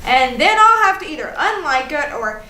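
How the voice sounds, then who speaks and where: A woman's voice: high-pitched, very animated, normal speed, sharp tone, exaggerated voice. A woman acting a frantic, melodramatic young woman who rambles and exclaims in a comedic staged reading. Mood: anxious, exasperated. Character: frantic young woman agonizing over social media feud. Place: live staged reading in a room. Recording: roomy, distant mic, faint noise.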